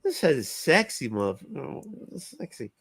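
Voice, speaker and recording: A man's voice: mid-range voice, huge pitch swings, normal speed, a bit bright, husky, no room sound. A man hosting a live stream, trading teasing, profane, affectionate banter with friends. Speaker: streamer host. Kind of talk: casual chat.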